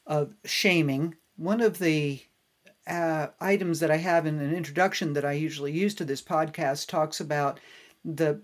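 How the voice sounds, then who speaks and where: A woman interviewing a guest as a podcast host, phrasing her questions and reflections deliberately in a conversational way. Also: low-pitched.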